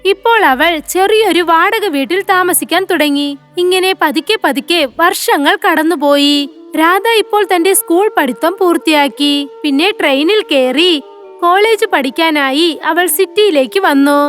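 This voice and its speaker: A woman's voice: extremely high pitch, normal speed, a bit bright, faint music behind. A woman narrating a scripted moral story for children.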